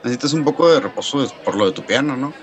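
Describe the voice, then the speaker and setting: A male actor plays a practical, considerate engineer. His mid-pitched voice is casual and questioning, as in a radio drama. People murmur in the background. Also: animated, fast talker, highs cut off, natural voice. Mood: considerate, hesitant. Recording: café crowd murmur, indoor room.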